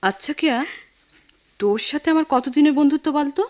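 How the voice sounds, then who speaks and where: A woman's voice: high-pitched, a little fast, a little mellow, lightly acted. A woman with a Bangladeshi accent playing an earnest, insistent close friend in a radio drama, persuasive and emphatic. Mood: earnest, coaxing. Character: Jui, earnest, insistent close female friend urging sense. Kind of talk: Bengali radio drama acting.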